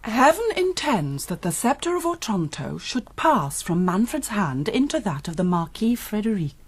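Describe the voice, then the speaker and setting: Pious, husky, expressive noblewoman. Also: mid-range voice, moderate pace. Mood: earnest. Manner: formal, earnest. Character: pious, submissive, dignified noblewoman and mother. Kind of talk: Gothic radio drama acting.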